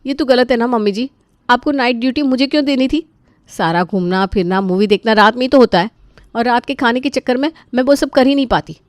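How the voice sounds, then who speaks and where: A woman's voice: fairly high pitch, a little fast, dry recording, clean studio sound, voice pushed up, close to an everyday voice. A woman voicing a resentful young daughter-in-law who complains in a whining way, in a story. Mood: whiny complaint.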